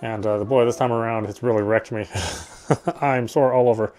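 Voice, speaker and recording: A man streaming a game, chatting casually and reading its story aloud, often halting and correcting himself. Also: mid-range voice, steady tone, a little mellow. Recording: faint game music behind.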